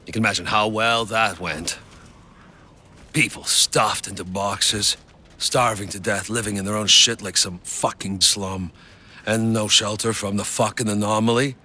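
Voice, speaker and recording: An actor playing a bitter, sardonic, foul-mouthed veteran in a video game cutscene. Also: male voice, lowish voice, steady tone, unhurried, sharp tone, heavily acted. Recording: faint background noise.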